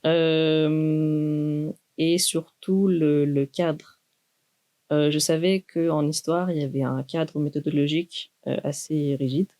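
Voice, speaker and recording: A young woman, a doctoral student speaking in a thoughtful, measured way with many pauses in a university podcast interview. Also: sits low, flat delivery, dark tone. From Greece. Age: 20s. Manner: measured, thoughtful, with frequent hesitations.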